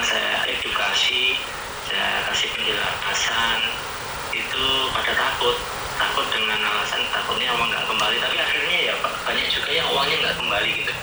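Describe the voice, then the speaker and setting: A man's voice: lowish voice, creaky. Distant man on a noisy phone line. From Banyuwangi, East Java. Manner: earnest, halting, repeats words while thinking. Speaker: caller sharing his experience. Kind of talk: casual phone call on a livestream. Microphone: phone call. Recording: echoey distant phone audio with loud noise.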